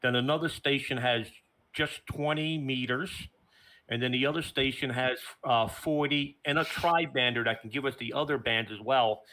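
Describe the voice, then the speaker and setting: A man's voice: medium pitch, normal speed, bright, forceful tone, rough recording. A man explaining a technical setup in casual conversation, as a plain-spoken station operator. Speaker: remote ham radio station operator.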